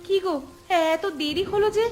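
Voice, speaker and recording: A woman's voice: very high-pitched, normal speed, darkish tone, faint music behind. A woman voicing a poor, worried village wife and mother in a folk-tale cartoon, plaintive and caring. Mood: caring, hopeful.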